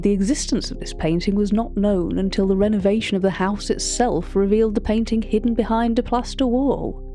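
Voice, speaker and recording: A woman's voice: mid-range voice, dark tone, close to an everyday voice. A woman playing a cultured, wry narrator in an audio drama, with a formal, precise, drily opinionated way of speaking. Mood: dry, scholarly. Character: cultured, wry art-catalogue narrator recounting uncanny encounters. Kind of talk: scripted narration of an audio fiction.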